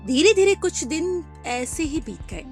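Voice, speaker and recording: A woman narrating a children's cartoon story in a clear, storytelling cadence. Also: high voice, sing-song, measured pace, faint music behind.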